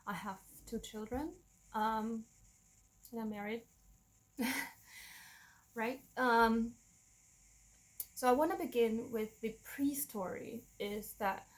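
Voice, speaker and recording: A woman in her thirties with a Russian accent, whose first language is Russian, telling a personal story to camera in a candid, halting way, with frequent restarts and soft nervous laughs. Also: a little high, low-quality recording. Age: 30s. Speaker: vlogger.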